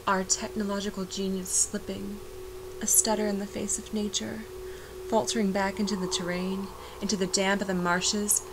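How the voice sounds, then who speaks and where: Reflective woman reciting spoken-word poetry. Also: medium pitch. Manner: measured, reflective, unhurried delivery. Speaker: performer narrating a dance video piece. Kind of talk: poetic spoken-word narration. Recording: voice-over with clear background noise.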